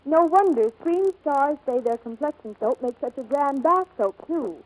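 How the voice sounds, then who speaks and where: An actress playing a chatty, enthusiastic endorser in a scripted 1940s radio commercial. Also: female voice, high-pitched, expressive, moderate pace, rough recording. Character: chatty, enthusiastic young woman product endorser. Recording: vintage 1940s radio recording.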